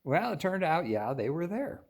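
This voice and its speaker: An older American man with a slightly high voice for a man talks casually to his viewers. He tells his story in a folksy, rambling way, stopping often mid-sentence before picking up the thread again. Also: big pitch swings, moderate pace, vocal fry. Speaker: host of a paranormal viewer-story channel.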